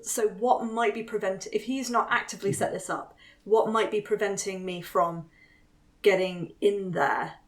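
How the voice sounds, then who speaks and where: A woman's voice: medium pitch, steady tone, moderate pace. A woman playing a tabletop game, casually thinking aloud as she talks through her choices.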